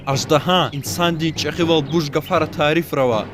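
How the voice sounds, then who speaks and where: Man acting an earnest cartoon character over loud music. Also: a little high, moderate pace, natural voice. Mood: grave.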